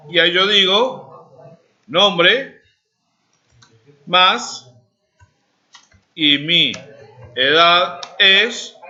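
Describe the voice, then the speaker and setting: High, bright-voiced Argentine teacher. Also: male voice. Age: 40s. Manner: talks while typing, asks students checking questions. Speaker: programming teacher. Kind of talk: live classroom coding lesson. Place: classroom. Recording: dull lo-fi classroom recording.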